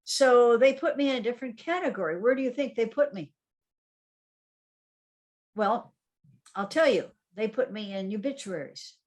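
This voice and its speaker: An older woman, a guest author, recalling her life in a reflective way, with frequent ums and soft laughs. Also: a little high, animated, moderate pace, pauses often. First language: English. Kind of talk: conversational interview storytelling.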